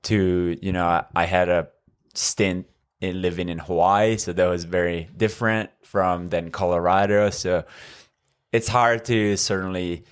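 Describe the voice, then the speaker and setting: A man in his thirties from Northern Italy, speaking English with an Italian accent as a podcast guest, measured and full of fillers. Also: deep voice, steady tone, clear, resonant tone. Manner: measured, with frequent fillers and restarts. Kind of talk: conversational interview.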